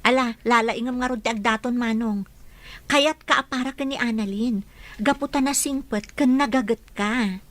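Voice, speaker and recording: A woman's voice: fairly high pitch, moderate pace, a bit bright, dry recording, lightly acted. A young woman playing a polite, dutiful daughter in a radio drama.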